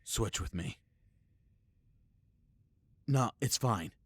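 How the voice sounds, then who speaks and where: A man's voice: fairly low pitch, animated, extremely slow, breathy edge, heavily acted. A man playing a proud, loyal superhero in an audio roleplay, speaking with sardonic and earnest emphasis. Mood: urgent, anguished. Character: defiant male superhero, proud, sarcastic, fiercely loyal. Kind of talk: scripted audio roleplay acting.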